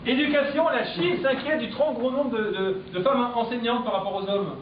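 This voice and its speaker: A man with a high voice runs through a mock press review, landing each joke with punchy, deadpan timing. The live recording is distant and echoey, with a dull, lo-fi tone and clear room noise behind him. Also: normal speed. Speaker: comedian. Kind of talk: stand-up comic press review.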